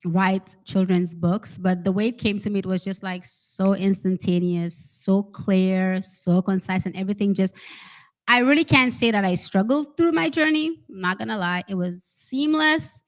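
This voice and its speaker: A woman speaking informally to an audience as a children's author, chatty and expressive, often checking in with her listeners. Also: medium pitch. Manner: chatty, expressive, addresses listeners with "right?" and "okay?". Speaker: special education teacher. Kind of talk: informal talk and read-aloud to an audience.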